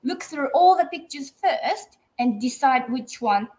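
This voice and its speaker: A woman co-hosting an online festival stream, chiming in with bubbly, lively comments. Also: high voice, measured pace. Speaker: festival co-host. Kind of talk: casual live stream chat.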